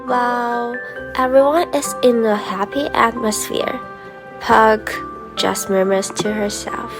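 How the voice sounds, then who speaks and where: A woman narrates a radio play from a script. Her voice is a little high, and faint music plays underneath. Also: fairly even intonation, slow pace.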